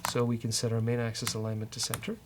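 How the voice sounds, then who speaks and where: A man's voice: mid-range voice, completely flat delivery, quick pace, background noise. A man co-hosting a coding show, talking casually and hesitantly as he thinks aloud while working. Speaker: co-host coding on a software show.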